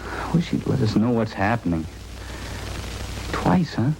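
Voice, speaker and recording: An actor playing an anxious, folksy young husband with a hesitant way of talking in a 1950s radio drama. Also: male voice, lowish voice, sing-song, measured pace, gravelly, darkish tone, exaggerated voice. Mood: anxious, hopeful. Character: anxious young husband awaiting his wife's childbirth. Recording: noisy old-time radio recording.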